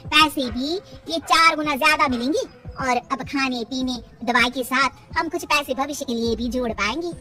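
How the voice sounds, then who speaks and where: A woman's voice: sits high, normal speed, sharp tone, recorded from a distance, faint music behind. A woman voicing a young village daughter-in-law who speaks respectfully and earnestly.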